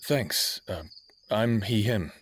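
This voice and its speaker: A man's voice: fairly low pitch, takes it slowly, slightly rough, no room sound. A man playing a defiant, bitter young human captive in a vampire audio drama, with sardonic, brooding, profane delivery, both narrating and speaking. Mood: guarded, wry. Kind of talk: first-person audio drama narration and dialogue.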